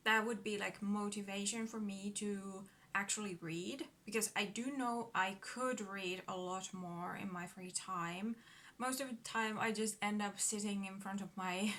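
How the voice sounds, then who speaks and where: A woman's voice: medium pitch, fairly bright tone. A woman in her thirties from Finland with a Finnish accent, speaking English as a second language, chatting nervously to her phone camera at home with fillers and small laughs. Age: 30s. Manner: nervous, giggly, hesitant with many fillers. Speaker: book vlogger. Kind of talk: casual vlog talk to camera. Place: at home in front of a bookcase.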